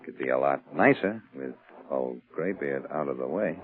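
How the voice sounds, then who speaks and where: A man's voice: extremely low pitch, expressive, moderate pace, narrow, tinny audio. An actor playing a cocky, scheming male crook in the manner of 1940s radio drama. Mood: sly, flirting. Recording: band-limited 1940s radio recording.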